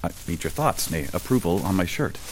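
A man's voice: sits low, animated, a little fast, slight background noise, poor audio. An actor playing a vain, pushy male celebrity emcee in a satirical audio drama, cocky and teasing. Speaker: party emcee.

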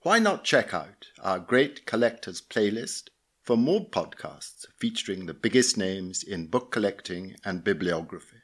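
A man's voice: on the low side, big pitch swings, a little slow. A man reading a scripted podcast announcement.

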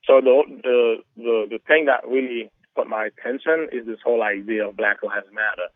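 A man's voice: mid-range voice, steady tone, moderate pace, no echo. Man talking over a tinny phone line. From Haiti. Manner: rambling, with frequent "you know" and "right". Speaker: documentary filmmaker, guest. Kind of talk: radio interview over the phone.

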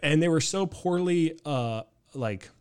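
A man's voice: a little high, a little slow, clear, resonant tone. An American man chatting casually as a comedian guest, telling anecdotes, acting out what people said and swearing freely. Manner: animated storyteller, acts out quotes, swears freely. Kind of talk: casual anecdotal podcast chat.

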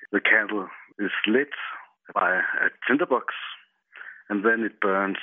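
A man's voice: deep voice, dry recording. A man with a Danish accent speaking English as a second language, explaining things as an expert interview guest. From Denmark. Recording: narrow, muffled telephone line.

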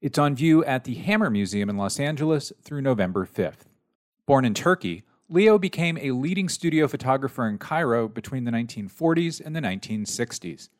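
A man's voice: medium pitch, animated, a little fast, no room sound, studio quality. A man hosting an art podcast, reading sponsor spots and asking his guest questions with some restarts. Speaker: podcast host.

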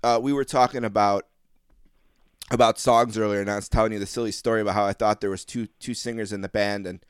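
A man's voice: on the low side, steady tone, fast, clear, resonant tone, no echo, clean studio sound. A man hosting a casual interview, chatty and asking long winding questions. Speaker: podcast host.

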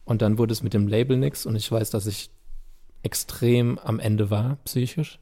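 Gravelly, husky-voiced man. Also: lowish voice, steady tone, moderate pace, warm tone. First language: German. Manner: laid-back, reflective, slangy. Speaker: musician guest. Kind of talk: candid casual interview talk.

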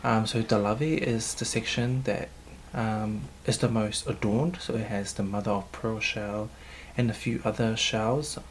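New man, cultural leader, fairly low voice, measured and hesitant with ums, faint room hum behind. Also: fairly even intonation. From New Zealand. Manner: thoughtful, hesitant, frequent um fillers. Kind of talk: interview answers.

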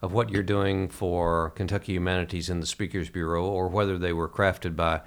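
A man hosting a reflective interview, speaking in a halting, measured way. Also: low voice, little pitch movement. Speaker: podcast host.

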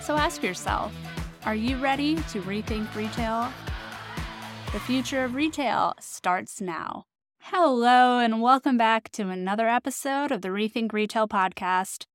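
A woman's voice: on the high side, a little slow, no echo, faint music behind. A woman hosting an interview podcast, asking questions in an upbeat, friendly way. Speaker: podcast host.

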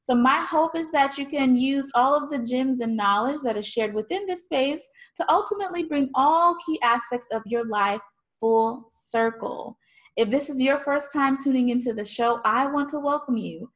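A woman's voice: high-pitched, normal speed. A woman hosting a podcast, opening the show and interviewing her guest in a friendly way, with many fillers. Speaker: podcast host. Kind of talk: scripted show intro, then interview.

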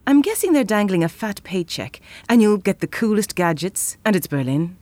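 A woman's voice: mid-range voice, sing-song, normal speed, darkish tone, no echo, natural voice. An actress playing a frank, playful, independent woman in a naturalistic audio drama. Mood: wry.

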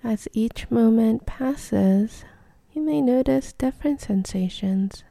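Slow, very soft, dark-toned woman guiding meditation. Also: a little high, vocal fry, dry recording. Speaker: meditation guide. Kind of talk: guided meditation.

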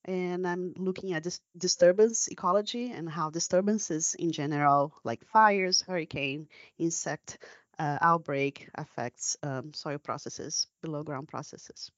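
A woman's voice: sits low, measured pace, no room sound. Brazilian woman, dry muffled sound. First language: Brazilian Portuguese. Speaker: guest scientist. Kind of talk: conversational podcast interview.

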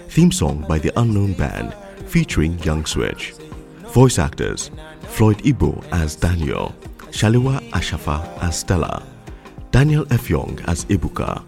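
Very deep male announcer. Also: creaky. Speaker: credits announcer. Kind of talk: scripted announcement.

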